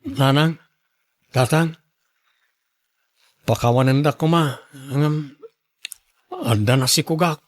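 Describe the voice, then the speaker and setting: A man's voice: mid-range voice, slow pace, long pauses, close to an everyday voice. A man with a Filipino accent playing an earnest, apologetic young student in a radio drama. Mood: concerned, apologetic. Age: young adult.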